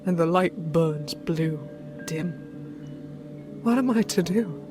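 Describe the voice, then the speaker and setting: A woman's voice: on the low side, takes it slowly. A woman playing a frightened, fragile young lady in a dramatic old-time radio play. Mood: mounting dread. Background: prominent music.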